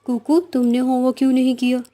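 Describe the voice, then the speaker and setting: A woman voices a strict lady bird teacher in a children's cartoon. She is brisk and authoritative, with a fairly high but mellow voice. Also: completely flat delivery, lightly acted. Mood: stern. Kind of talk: children's cartoon story acting.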